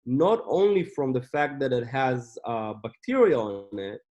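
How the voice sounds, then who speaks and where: Relaxed man explaining his business. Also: mid-range voice, a little slow, muffled recording. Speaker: company co-founder and CEO. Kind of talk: casual interview answers.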